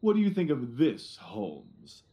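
Pompous, blustering police detective. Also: male voice, mid-range voice, wildly swooping pitch, dark tone. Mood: self-important musing. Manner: blustering, condescending, repeats himself, emphatic pauses. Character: pompous, blustering, self-satisfied middle-aged male police detective. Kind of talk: audio drama acting.

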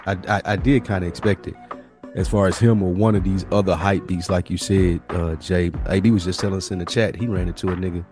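Very low-voiced man hosting a podcast. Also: fairly even intonation, fast, over music. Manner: slangy, conversational, questioning. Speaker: podcast host. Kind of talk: casual podcast chat.

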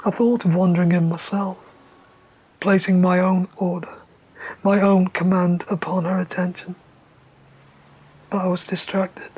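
A man as a brooding, breathy narrator. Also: high-pitched, level tone, rough recording. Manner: brooding, measured, slipping into hushed whispers. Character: haunted narrator, guilty and uneasy. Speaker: first-person narrator. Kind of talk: literary narration.

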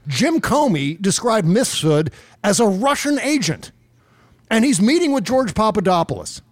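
A man's voice: high-pitched, very animated, normal speed, no room sound. A man hosting casual political commentary in a sarcastic, exasperated and profane way. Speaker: podcast host.

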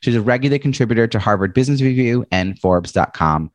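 A male podcast host, chatty and expressive, introducing and talking with a guest. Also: mid-range voice, quick pace, dry recording. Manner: chatty, says he has a loud voice and big presence. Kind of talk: interview podcast.

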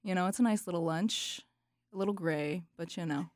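Low-toned young woman telling stories casually. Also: fairly quick, a little mellow, no room sound. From Charlotte area, North Carolina, US. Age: young adult. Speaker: band singer being interviewed. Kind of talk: casual chat, playful storytelling.

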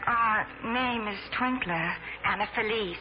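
A woman's voice: medium pitch, measured pace, very noisy, lightly acted. An actress playing a proud, naive teenage girl with prim, precise, formal speech in a 1940s radio drama. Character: proud, proper, naive teenage half-German girl with pigtails. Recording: muffled 1940s radio broadcast with music.

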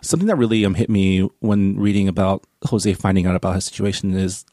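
A man with a North American accent and a fairly low voice talks in a casual group discussion. He thinks aloud reflectively and often checks that others follow. The sound is dry and close. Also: fast talker, warm tone, no room sound. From born in Canada, grew up in America. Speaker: book discussion panelist.

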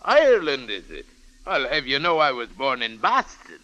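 An actor playing a crafty old waterfront dice-game runner with folksy, sailor-like phrasing in an old radio drama. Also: male voice, mid-range voice, very animated, moderate pace, music in the background, lightly acted, low-quality recording. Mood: sly, gruff. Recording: vintage radio recording with background crowd.

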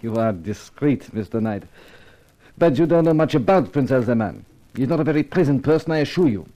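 An actor playing an anxious, courteous Frenchman, speaking gravely and hesitantly in a 1950s radio drama. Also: mid-range voice, expressive, brisk, mellow, muffled recording, lightly acted. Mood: urgent, hesitant. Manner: grave, hesitant, polite, earnest. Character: anxious, courteous Frenchman seeking his lost sister.

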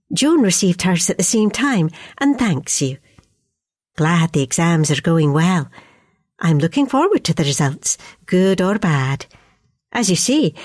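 A woman's voice: lowish voice, darkish tone, dry recording, studio quality. A woman reading as a cheerful letter-writing mother. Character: cheerful mother writing to her son abroad. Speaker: letter reader. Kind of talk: reading a wartime letter aloud.

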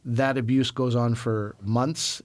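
A man hosting a radio book interview, asking steady, measured questions and backing them up with brief affirmations. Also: medium pitch. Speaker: radio host.

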